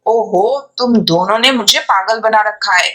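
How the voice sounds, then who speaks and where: A woman's voice: mid-range voice, measured pace, a bit bright, lightly acted. A woman voicing an older, exasperated mother-in-law pigeon in a cartoon story, with a dramatic, scolding manner. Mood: angry, scolding. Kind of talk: Hindi animated moral story acting.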